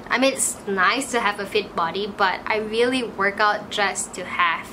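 A woman's voice: medium pitch, background noise. A woman in her twenties with a Malaysian English accent, chatting casually to camera in a small room, full of "like" fillers. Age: 20s. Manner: chatty, frequent "like" fillers, animated. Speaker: vlogger.

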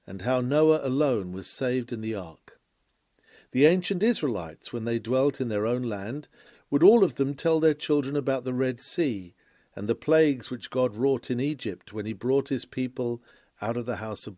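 A man reading a sermon aloud from a script in a formal, oratorical, measured manner. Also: medium pitch, dark tone, dry recording, studio quality. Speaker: reader of a historical sermon.

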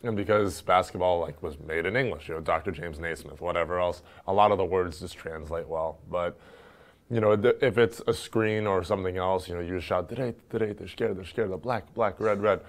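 A young man with a low voice talks easily in an interview, filling gaps with "um" and "you know" as he thinks aloud. He grew up in Turkey and speaks as an athlete, recorded on a lapel mic in an indoor room. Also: brisk. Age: young adult. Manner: relaxed, chatty, frequent fillers. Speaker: basketball player. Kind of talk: casual interview answers. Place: indoor room with wood-panel wall.

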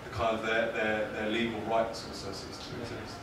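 Low-voiced young man in an echoey room. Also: monotone, normal speed. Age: young adult. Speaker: presenter answering audience questions. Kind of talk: academic Q&A, unscripted. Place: indoor university lecture room. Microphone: far camera. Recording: distant, very echoey, noisy lecture room.

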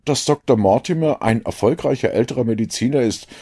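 A man's voice: mid-range voice, fairly quick, mellow, slightly rough, pitched above the performer's own voice, close to an everyday voice. A man voicing an eager, self-satisfied detective's companion in an audiobook, earnest and a little smug.

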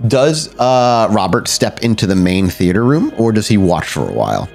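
A man's voice: fairly low pitch, sing-song, moderate pace, creaky, darkish tone, soft background music. A man improvising descriptive narration as the storyteller of a tabletop roleplaying game, in a casual, conversational way.

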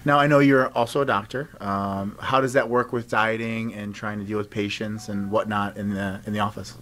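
A man's voice: fairly low pitch, fairly even intonation, normal speed, fairly bright tone, slight background noise. A man in his thirties doing a casual, joking interview on a handheld microphone. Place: indoor backdrop set with couch at a competition venue.

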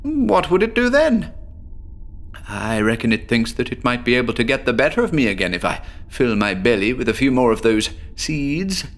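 A man's voice: medium pitch, very animated, normal speed. An actor playing a frantic, haunted patient who stammers as he confesses a horror story in an audio drama.

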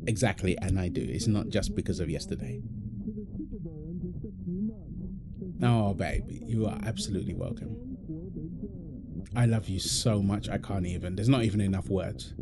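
A man's voice: sits low, brisk, music in the background. A man playing a playful, doting husband in an intimate audio roleplay, teasing and affectionate, full of exclamations. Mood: tender. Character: playful, doting husband apologizing to his pregnant wife.

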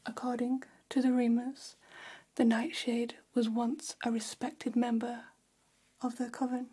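An elegant vampire hairstylist, played by a woman, whispers in a very breathy, mellow voice. She confides salon gossip slowly and soothingly, close to the mic, in an ASMR role-play. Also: on the high side, level tone. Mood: hushed, confiding. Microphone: close ASMR microphone.

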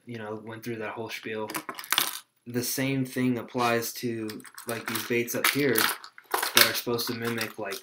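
A young man, a fishing guide, explaining gear to a webcam at his desk in a small room, in a relaxed, rambling way with many fillers. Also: medium pitch, very noisy. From Texas. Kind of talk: casual tutorial to camera.

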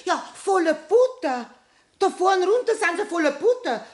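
An actress playing a down-to-earth household cook with a Bavarian accent and a brisk, grumbling manner in a 1960s radio play. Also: female voice, extremely high pitch, very animated, exaggerated voice. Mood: exasperated scolding. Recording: roomy old radio recording.

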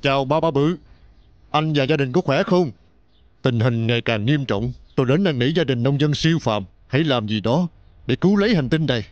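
A man's voice: mid-range voice, lively intonation, moderate pace, dry recording, dull audio, close to an everyday voice. An actor playing a formal, earnest city mayor in a cartoon, entreating his listeners. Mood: pleading.

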